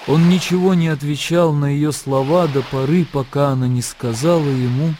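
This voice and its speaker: A man giving a theatrical, expressive narration of a literary radio play. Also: on the high side, normal speed, slight background noise. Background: music.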